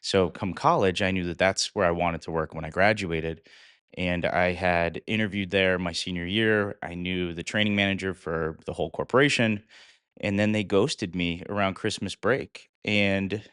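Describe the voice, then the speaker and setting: Man, 30s, from connecticut, very deep voice, smooth, earnest, dry close sound. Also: steady tone, normal speed, a bit bright, no echo. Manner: earnest storyteller with frequent "uh" fillers. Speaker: guest, fitness coach. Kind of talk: casual interview.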